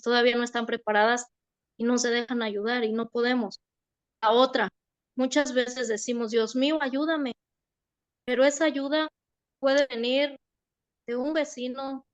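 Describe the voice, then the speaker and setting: A woman offers gentle, reflective thoughts in a spiritual group discussion, her voice fairly high. The sound is dry and close, and she leans on soft fillers as she talks. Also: no room sound. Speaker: study group participant.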